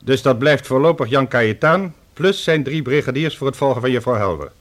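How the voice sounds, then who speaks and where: A man's voice: mid-range voice, animated, a little fast, natural voice. An actor in a Dutch radio play playing a businesslike, commanding police chief giving directives to his subordinates.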